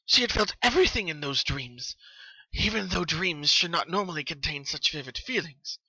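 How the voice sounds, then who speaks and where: A storyteller reads horror fiction with theatrical intensity. The voice is sharp and forceful, recorded dry without room sound. The delivery is scripted and dramatic. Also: medium pitch, lively intonation, normal speed, slightly rough, no echo, low-quality recording. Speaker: narrator.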